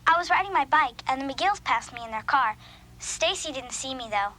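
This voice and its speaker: An excitable preteen schoolgirl in a children's audio drama. The voice is high and bright, with an earnest, emphatic delivery. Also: normal speed. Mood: insistent.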